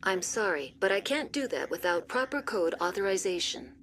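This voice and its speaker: An actress playing a calm, polite computer intelligence in a video game, speaking in a formal, measured way. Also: female voice, mid-range voice, a little hiss or hum, natural voice. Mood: politely impassive. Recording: music behind the voice.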